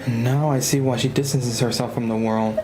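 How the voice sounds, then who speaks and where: A man's voice: mid-range voice, fairly even intonation, brisk. A man streaming a game live, reacting casually with slangy, incredulous remarks. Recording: echoey, game music behind.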